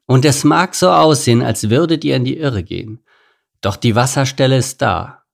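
A solemn lion monarch from a children's tale, voiced by a male reader. He speaks in grave, measured phrases meant to reassure, with a gravelly edge. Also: medium pitch, lightly acted. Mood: solemn, reassuring. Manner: grave, measured speech-making. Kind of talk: children's storybook character voice.

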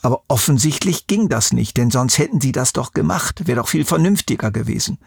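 A man with a gravelly, husky voice at a medium pitch answers as an expert for children. He explains conversationally and in measured, orderly steps. The recording is close and dry. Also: animated, no room sound.

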